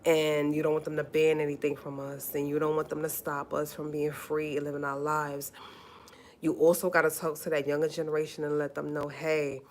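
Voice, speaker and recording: A forty-year-old woman talks straight to her livestream viewers. Her voice sits very low, and she hammers her points home by repeating them. She calls listeners honey as she goes. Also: flat delivery, brisk, darkish tone. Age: 40s. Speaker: livestream host. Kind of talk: casual livestream talk.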